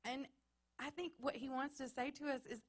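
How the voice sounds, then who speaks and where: A woman's voice: high voice, steady tone, normal speed, breathy edge. A woman from Texas with a Texan accent, preaching a sermon in an earnest way that builds to emphatic repetition. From Texas, USA. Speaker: pastor. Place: church.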